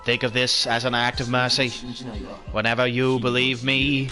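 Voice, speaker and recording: A man's voice: medium pitch, little pitch movement, moderate pace, music in the background, natural voice. A man doing a cold shadowy hacker.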